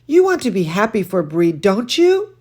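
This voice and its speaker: A woman reading a children's storybook, voicing a gentle, wise mother who soothes and reassures. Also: mid-range voice, very animated, moderate pace. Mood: reassuring. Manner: soothing, patient. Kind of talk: children's storybook read-aloud.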